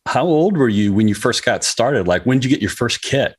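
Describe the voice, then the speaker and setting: A man's voice: medium pitch, animated, fairly quick, husky. A man hosting a casual interview over a video call, laid-back and enthusiastic, calling his guest dude and man. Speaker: interviewer, host.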